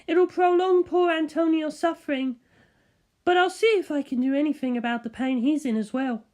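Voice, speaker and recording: A narrator plays a composed, kind-hearted woman fighter in a storybook tale. The voice is pitched well up, light and measured as it explains things earnestly. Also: darkish tone, close to an everyday voice. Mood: calm, earnest.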